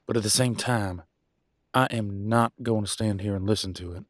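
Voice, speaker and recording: A man playing a weary, embittered werewolf pack leader and husband in a dramatic audio roleplay, with a folksy, sarcastic, pausing delivery. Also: low-pitched, fairly even intonation, dry recording, clean studio sound. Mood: angry, firm. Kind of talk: dramatic one-sided relationship roleplay audio.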